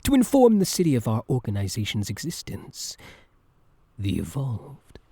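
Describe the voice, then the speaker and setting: A man playing an obsessive, infatuated supervillain in an intimate ASMR roleplay, soft-spoken and teasing, with a breathy voice that dips into whispers. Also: medium pitch, wildly swooping pitch, dry recording, full character voice. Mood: smug, musing. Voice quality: breathy, gravelly, dropping at times into whispers. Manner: teasing, unhurried, falsely gentle.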